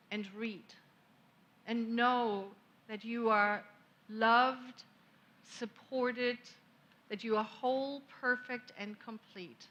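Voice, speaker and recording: Lady minister, slow gentle sermon, kinda high voice. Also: highs cut off. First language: German. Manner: gentle, reflective, unhurried with long pauses. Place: church sanctuary.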